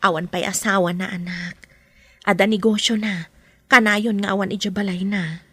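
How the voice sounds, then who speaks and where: A woman playing an emotional, proud mother and lady of the house in a radio drama, with a husky voice. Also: medium pitch, fairly even intonation, normal speed, fairly bright tone, no echo. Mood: hesitant, evasive. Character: proud adult daughter and mother, mistress of mansion.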